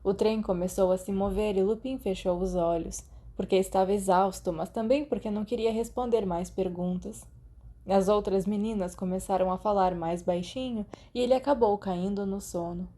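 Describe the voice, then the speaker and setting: A woman's voice: medium pitch, steady tone, normal speed, dark tone. A woman with a Brazilian accent reading scripted fiction as an audiobook narrator.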